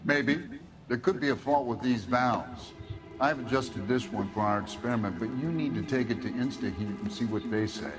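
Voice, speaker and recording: An actor playing a dry, matter-of-fact appliance expert giving instructions in a video game. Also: male voice, medium pitch, normal speed, music in the background, natural voice, low-quality recording. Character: dry gas-appliance expert helping investigators.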